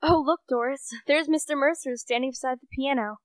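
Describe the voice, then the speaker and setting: Young woman acting a gossipy, higher-voiced society lady. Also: brisk, dry recording, close to an everyday voice. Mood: pitying, gossipy. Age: young adult. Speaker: student actor. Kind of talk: radio play acting.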